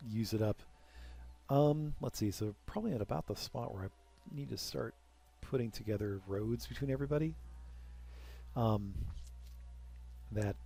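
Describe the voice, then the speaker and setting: A man's voice: mid-range voice, gravelly, mellow. A young man casually commenting while playing a strategy game, rambling with self-deprecating jokes and asides. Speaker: let's play commentator.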